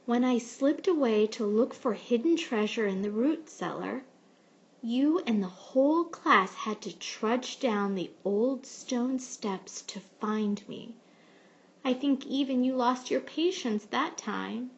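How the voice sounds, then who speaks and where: A woman reading a picture book aloud like a teacher, with expressive, measured storytelling. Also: a little high, muffled recording. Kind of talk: storybook read-aloud narration.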